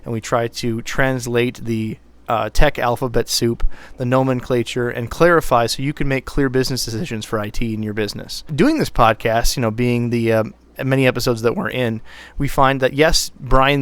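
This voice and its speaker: A man co-hosting a podcast about IT work. His voice sits low, though he lifts it toward a brighter tone on purpose. He speaks in a deliberate way with frequent fillers, and the recording is dry and close. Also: no echo. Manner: deliberate, with many fillers and restarts. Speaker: podcast co-host, IT technician. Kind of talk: casual podcast talk. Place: Minnesota, US.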